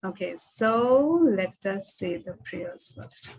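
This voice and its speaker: A woman's voice: medium pitch, animated, slow pace, slightly rough, no room sound, rough recording. A woman leading an online devotional class over a video call, giving simple instructions and chanting prayers as teacher and host.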